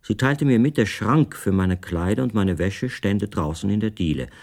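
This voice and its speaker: Radio play captive narrator: deep register, composed, exact, detached first-person storytelling. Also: male voice, moderate pace, a little mellow. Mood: calm, observant. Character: captive male writer calmly recounting his confinement.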